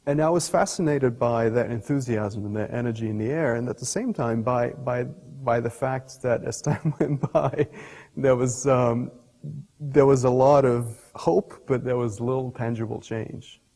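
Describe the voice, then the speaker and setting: Husky, mellow man in reverberant room. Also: medium pitch. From Portugal. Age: middle-aged. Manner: thoughtful, halting, often rephrasing mid-sentence. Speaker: scientist and professor being interviewed. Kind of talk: reflective interview talk, explaining ideas. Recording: reverberant hall-like room echo.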